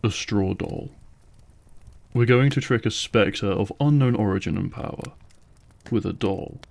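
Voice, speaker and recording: A man's voice: fairly low pitch, a little slow, vocal fry, a little mellow. A man playing a brooding, terse vampire hunter and reluctant ally in a fantasy audio drama, sounding sceptical. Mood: sceptical, stern. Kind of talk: fantasy audio roleplay acting.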